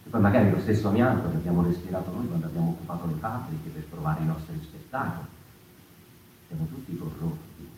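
An actor playing a rambling, impassioned storyteller in a conversational theatre monologue, digressing and seeking agreement. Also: male voice, sits low, fast talker, mellow, lightly acted. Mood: musing. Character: rambling, impassioned male storyteller of the mouse folk. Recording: echoey, distant, noisy live theatre recording.

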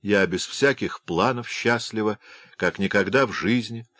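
A man reading the lines of a giddy, effusive young woman in love in an audiobook, in a low, dry voice. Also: unhurried, a bit bright, no echo.

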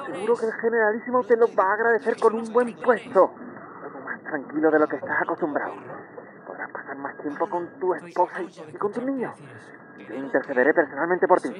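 A man's voice: a little high, a bit bright, close to an everyday voice, rough recording. A man acting in an audio drama as a menacing, insinuating fascist sympathizer who coaxes insistently and familiarly. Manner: insinuating, familiar, bursts into swearing.

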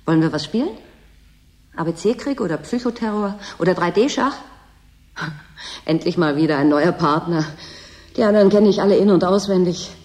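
A woman's voice: on the low side, moderate pace, long pauses, darkish tone, lightly acted. An actress playing a bored, needy young woman at a desolate station in a German radio drama, restless and resigned. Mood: bitter, pleading.

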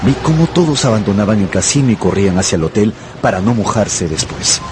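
An actor playing an anxious gambler who narrates his own ruin in a radio novel, brooding and intense, with dramatic pauses. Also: fairly low pitch, lively intonation, background noise, lightly acted. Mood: dejected, urgent.

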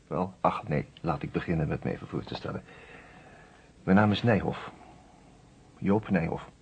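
An actor playing a genial, reflective lawyer who narrates a murder case in a radio drama, conversational and measured, with a husky voice on an old recording. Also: male voice, sits low, a little hiss or hum.

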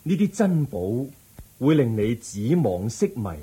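An actor playing a serene, solemn Buddha who preaches calmly in a Cantonese radio drama, with a Hong Kong Cantonese accent. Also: male voice, medium pitch, slightly rough, exaggerated voice, low-quality recording. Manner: measured, calm, didactic.

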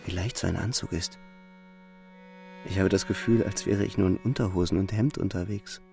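Casting: introspective young soldier, lowish creaky voice, literary monologue over music. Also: male voice, fairly even intonation, moderate pace, darkish tone. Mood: brooding. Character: war-weary young soldier's brooding inner voice. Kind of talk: literary radio drama monologue.

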